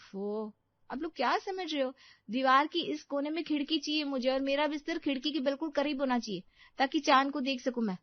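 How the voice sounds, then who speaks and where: Lady doing spoilt bride-to-be girl, high voice, gushy and demanding, dry kinda muffled audio story. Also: fairly quick, dry recording, lightly acted. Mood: fussy, indignant. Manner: fussy, gushing, entitled. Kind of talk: Hindi audio story acting. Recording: slightly dull, dry studio recording.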